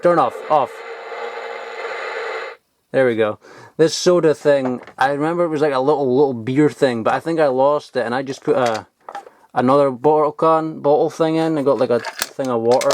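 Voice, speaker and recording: A man's voice: mid-range voice, moderate pace, darkish tone. Enthusiastic young man, noisy amateur recording. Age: young adult. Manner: sweary, informal, enthusiastic. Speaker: vlogger showing old toys. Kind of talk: casual handheld show-and-tell. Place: loft at home, indoors.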